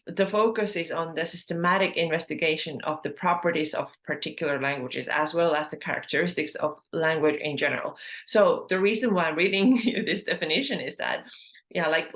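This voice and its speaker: A woman's voice: sits low, a bit bright. Chatty Estonian-born woman podcaster. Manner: chatty, lots of fillers and self-corrections. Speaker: podcast host. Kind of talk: casual solo podcast monologue.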